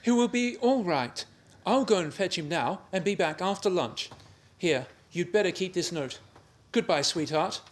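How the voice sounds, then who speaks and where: An actor playing an earnest, reassuring young husband, in 1930s radio-play style. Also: male voice, high-pitched, animated, moderate pace, few pauses.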